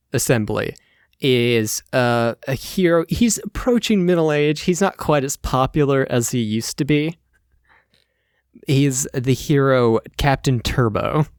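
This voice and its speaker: Male game master for casual tabletop narration: unhurried, halting delivery, very dry close sound. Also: mid-range voice, clean tone, no room sound. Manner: halting, informal.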